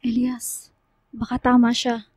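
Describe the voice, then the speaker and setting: A woman's voice: on the high side, monotone, measured pace, a little mellow, dry recording, clean studio sound. A Filipino actress playing a worried, loyal young woman friend, anxious and questioning, in a radio horror drama.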